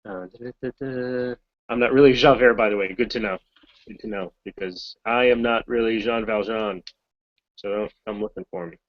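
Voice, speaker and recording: Low-voiced man chatting over a lo-fi call. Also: steady tone, normal speed, no echo. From Massachusetts, US. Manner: chatty, rambling, self-deprecating. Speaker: voice actor answering fan questions. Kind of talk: casual Q&A chat. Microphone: video call.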